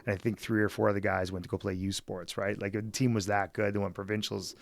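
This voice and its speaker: A man's voice: lowish voice, flat delivery, fast talker, vocal fry, no echo, clean studio sound. A middle-aged man from Edmonton, Canada, chatting casually and enthusiastically as an interview guest, often adding "man" and "right?". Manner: easygoing, enthusiastic, peppers speech with "man" and "right?". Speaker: guest, former basketball team executive.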